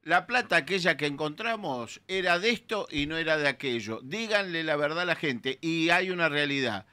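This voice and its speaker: A man's voice: fairly high pitch, lively intonation, moderate pace, sharp tone. A man in his sixties with a Rioplatense Argentine accent, an emphatic and indignant radio panelist talking into a studio microphone. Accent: Rioplatense Spanish. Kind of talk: opinionated radio talk. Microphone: studio mic with headphones.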